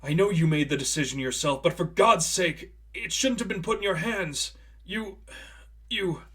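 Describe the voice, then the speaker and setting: A man voices an anguished, kindly priest in a dramatic story reading. He speaks with earnest, protective emphasis, his pitch lifted and his delivery pushed, as an uncle who cares deeply. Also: normal speed, a bit bright. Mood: regretful.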